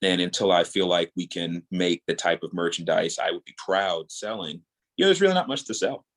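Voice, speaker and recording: Very deep-voiced man, earnest interview guest. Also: expressive, a little fast, a bit bright. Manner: earnest, rambling, repeats words as he thinks. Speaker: podcast guest, audio drama creator. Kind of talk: casual interview talk.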